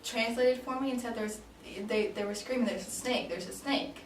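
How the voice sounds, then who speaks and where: A woman's voice: a little high, normal speed. A woman in her late twenties, from Denmark, answering questions in a casual mock job interview, hesitant with many ums and restarts. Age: 20s. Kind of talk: casual improvised role-play interview. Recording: echoey room, mic at a distance, faint noise.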